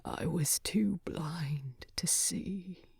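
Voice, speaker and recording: A woman voicing a proud, gruff male dwarf king with a low, rasping, breathy voice in a fantasy story. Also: drawn-out words, a little mellow, no room sound, clean studio sound, exaggerated voice. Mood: weak, dying.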